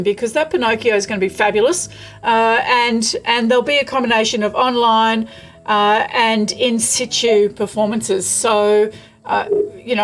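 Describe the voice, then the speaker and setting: An Australian woman hosting a casual interview in an appreciative, encouraging manner. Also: a little high. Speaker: interviewer, host.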